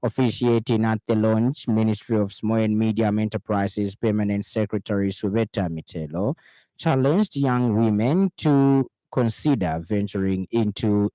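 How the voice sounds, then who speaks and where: A man with a Zambian accent reads the news on radio. His voice is lowish and dark, with little edge to it. He is formal and measured, in a dry, close studio sound. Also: no room sound. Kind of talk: scripted news bulletin reading.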